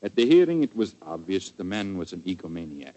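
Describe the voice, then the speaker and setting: Intense aristocratic count, very low voice, formal dramatic delivery, vintage radio play. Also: male voice, very animated, brisk, mellow, poor audio. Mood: urgent, grave. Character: grieving aristocratic Viennese count, a police official, intense. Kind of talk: 1940s radio acting.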